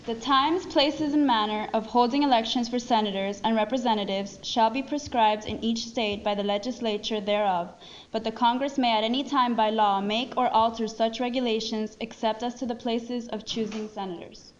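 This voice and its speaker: A woman's voice: a little high, fairly even intonation, moderate pace. A woman reading a formal legal document aloud as a participant. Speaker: participant reader. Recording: dull videoconference audio, faint noise.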